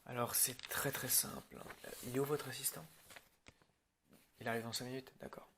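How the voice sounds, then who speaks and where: A man's voice: medium pitch, level tone, moderate pace, background noise. A man speaking informally and hesitantly in an improvised ASMR roleplay, as a film director addressing his crew.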